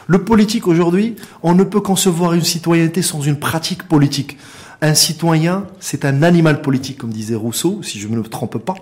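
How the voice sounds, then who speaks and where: A man's voice: on the high side, a little fast, a little mellow. A middle-aged man from Morocco with a Moroccan accent, speaking as an interview guest in an impassioned, emphatic way, repeating words for stress. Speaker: interview guest, founder and director of an organisation.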